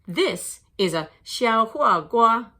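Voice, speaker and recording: Woman reading as a slow-spoken, gentle storybook mother. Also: on the high side, animated, voice pushed up, heavily acted. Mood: gentle, reassuring. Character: warm Chinese mother explaining her garden vegetables.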